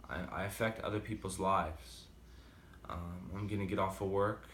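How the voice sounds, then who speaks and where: A man's voice: sits low, fairly even intonation, moderate pace, a bit bright, roomy sound, a little hiss or hum. A man with a slight Boston accent talking casually and rambling with many fillers in a motivational vlog. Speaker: vlogger. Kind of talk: casual motivational talk to camera.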